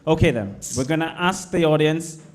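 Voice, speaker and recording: A man's voice: a little high, expressive, normal speed. Jokey male host of a live Q&A. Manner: jokey, teasing, upbeat. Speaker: event host. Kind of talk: hosting a live stage Q&A.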